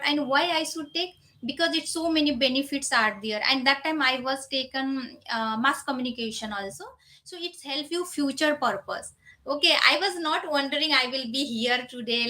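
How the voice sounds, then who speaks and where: A woman's voice: high-pitched, pushed and bright. A woman in her thirties, a native Nepali speaker, chatting casually as a live stream host in non-native English with loose grammar and frequent filler phrases. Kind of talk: casual live chat, answering viewers.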